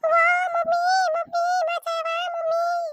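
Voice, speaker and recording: A woman's voice: extremely high pitch, monotone, unhurried, fairly bright tone, dry recording, pitched above the performer's own voice. A woman voicing a small cartoon child who whines and wails repetitively for mother. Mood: whining. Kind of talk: cartoon comedy dialogue.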